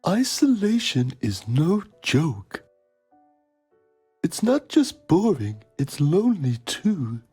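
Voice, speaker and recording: A man's voice: fairly high pitch, big pitch swings, slow pace, a little mellow, husky, faint music behind, heavily acted. A man playing a pompous TV journalist, narrating a mock documentary in a solemn, melodramatic manner. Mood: grave, pompous.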